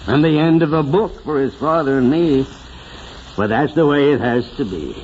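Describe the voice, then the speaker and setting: An actor playing an elderly, sentimental small-town mayor with a solemn, oratorical manner, in 1940s radio drama style. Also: male voice, a little high, darkish tone, natural voice. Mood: grieving, tender. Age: older. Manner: oratorical, solemn, drawn-out phrasing. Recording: muffled, hissy 1940s radio recording.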